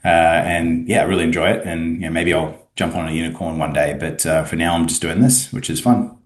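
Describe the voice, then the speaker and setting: A man in his thirties with an Australian accent, a guest in a casual interview, talking haltingly with many fillers and restarts. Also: low voice, steady tone, reverberant. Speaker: guest, academic and author.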